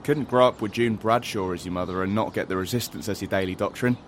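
An actor playing a confident, determined young freedom fighter in an audio drama, matter-of-fact and a little cocky. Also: male voice, on the low side, fast, a little hiss or hum, natural voice. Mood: firm, urgent.

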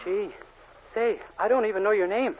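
An actor playing a naive, earnest, innocent young store clerk in a 1940s radio sitcom. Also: male voice, high-pitched, moderate pace, lightly acted. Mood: naive, eager. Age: young adult. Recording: muffled, noisy 1940s radio recording.

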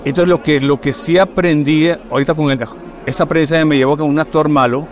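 A middle-aged film director from Puerto Rico with a mixed Caribbean and Mexican Spanish accent, chatting casually and telling stories with lots of fillers. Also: male voice, on the high side, steady tone, a little fast, faint music behind. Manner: chatty, storytelling, fillers like "este". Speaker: film director, podcast guest. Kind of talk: casual conversation.

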